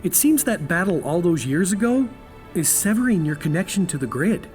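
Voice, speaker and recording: A man playing a calm middle-aged scientist. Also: high-pitched, moderate pace, faint music behind. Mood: thoughtful. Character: middle-aged male scientist and veteran ranger.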